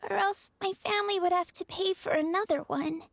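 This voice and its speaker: A woman's voice: very high-pitched, fairly even intonation, brisk, gravelly, dry recording, studio quality. A woman voicing a frail, earnest little girl in a video game, hesitant and plaintive. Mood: sad, wistful.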